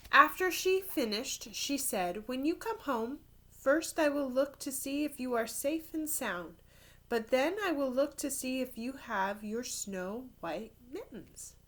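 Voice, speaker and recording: A woman with a very bright, fairly high voice reads a children's story aloud like a primary school teacher. Her pace is slow and deliberate. She reads expressively and slips into casual asides as if showing pictures to young listeners. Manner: expressive reading, pausing to point out pictures and explain words. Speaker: third grade teacher reading to pupils.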